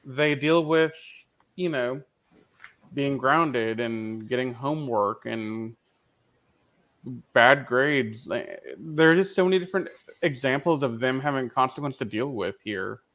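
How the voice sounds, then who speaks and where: A man's voice: medium pitch, a little slow. A man co-hosting a podcast, talking casually in a fan discussion. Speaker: podcast co-host. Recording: dull lo-fi audio.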